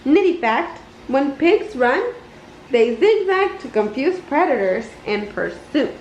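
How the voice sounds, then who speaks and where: A woman reading a children's storybook aloud in an expressive, deliberate storytelling way. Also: sits high. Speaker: storybook reader. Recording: roomy, slightly dull, noisy home recording.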